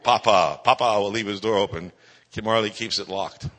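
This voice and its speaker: A man's voice: lowish voice, moderate pace, fairly bright tone. A man speaking to a church congregation, giving a prayer update and introducing his wife, with a jokey manner. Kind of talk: church announcements. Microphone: microphone. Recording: church hall reverb.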